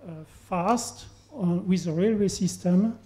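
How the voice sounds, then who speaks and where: A man from Belgium, an audience member at a panel event, asking a long and deliberate question. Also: sits high, mellow. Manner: slow, deliberate, repeats for emphasis.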